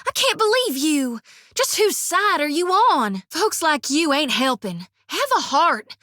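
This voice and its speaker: An earnest, folksy young priestess from a fantasy game. Her is warm and plainspoken, and her voice sits somewhat high. The studio sound is dry and close. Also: big pitch swings, a little slow, dry recording, heavily acted. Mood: angry. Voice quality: drawling, folksy. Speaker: companion character. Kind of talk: video game voice acting.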